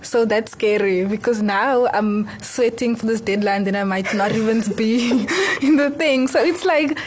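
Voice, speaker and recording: A woman's voice: medium pitch, slight background noise. A woman in her twenties from Cape Town, a journalism student, chatting casually in an interview. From Cape Town, South Africa.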